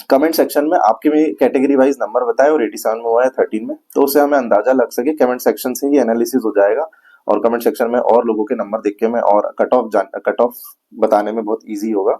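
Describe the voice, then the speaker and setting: A man's voice: medium pitch, dark tone. A man with an Indian accent giving an informal explainer, going over figures and repeating them, with English words mixed in. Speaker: physical training academy presenter. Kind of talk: informal explainer to camera for exam aspirants.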